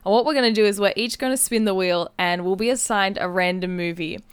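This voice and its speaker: A woman's voice: mid-range voice, fast talker, smooth, dry recording. A woman co-hosting a podcast, explaining things in a casual, chatty, giggly way. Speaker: podcast co-host. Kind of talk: casual chat, explaining a game. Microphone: close podcast microphone.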